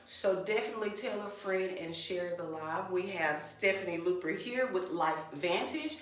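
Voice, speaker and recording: A woman's voice: on the low side, moderate pace. A woman hosting a live podcast in a small studio, bubbly and exclamatory, calling listeners into the room. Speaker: podcast host. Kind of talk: casual live-stream chat. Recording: very echoey room.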